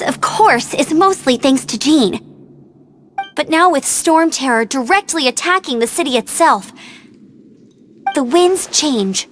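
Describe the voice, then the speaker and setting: High-voiced, perky young knight. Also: female voice, a bit bright, a little hiss or hum, heavily acted. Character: perky, earnest young female knight scout. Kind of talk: video game voice acting.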